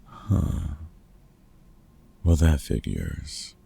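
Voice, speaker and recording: A man playing a gruff, wry older vampire hunter in an ASMR roleplay, a fatherly mentor with a very gravelly, husky voice and dry, teasing delivery. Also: a bass voice, sing-song, extremely slow, dark tone, heavily acted. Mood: amused, teasing. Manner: dry, teasing, hesitant with unfamiliar slang. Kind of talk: ASMR roleplay audio drama, one-sided conversation.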